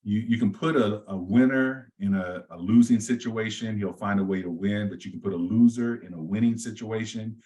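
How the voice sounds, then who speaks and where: A man in his forties, a real estate agent guest in a casual interview, with a deep radio-style voice and an easygoing way of talking full of restarts. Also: moderate pace, hardly any pauses, mellow, muffled recording. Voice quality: deep voice, told he has a voice for radio. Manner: easygoing, lots of fillers and restarts.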